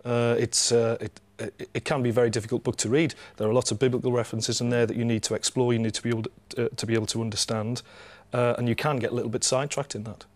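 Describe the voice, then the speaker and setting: A man gives his views on a book panel in a medium-pitched voice. He talks quickly but haltingly, often repeating himself. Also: level tone. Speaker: panel guest. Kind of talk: book discussion.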